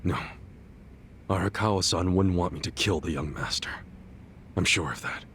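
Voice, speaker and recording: Earnest, low-voiced streetwise ex-yakuza hero. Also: male voice, normal speed, a bit bright, a little hiss or hum. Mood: subdued, firm. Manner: heartfelt, blunt, streetwise. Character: earnest, loyal, scrappy middle-aged ex-yakuza hero. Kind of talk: video game cutscene dub acting.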